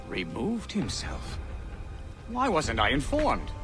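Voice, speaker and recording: A man's voice: medium pitch, huge pitch swings, takes it slowly, over loud music, full character voice. An actor playing a pompous older colonial statesman in a video game, with formal, theatrical, blustering delivery. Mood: indignant. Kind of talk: dramatic video game acting.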